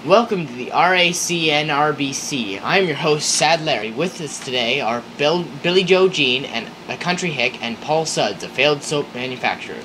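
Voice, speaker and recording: A man's voice: a little high, animated, normal speed, hardly any pauses, pushed and bright, a little hiss or hum. A young man playing an earnest 1930s radio host, reading scripted interview questions in an amateur skit. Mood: formal. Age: young adult.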